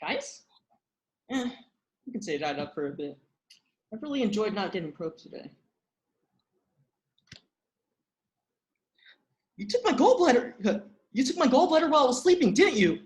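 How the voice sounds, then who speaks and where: An earnest young human hero, read by a woman in a comedy table read. The voice is slightly high and excitable, with an everyday natural sound. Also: a little fast. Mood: indignant.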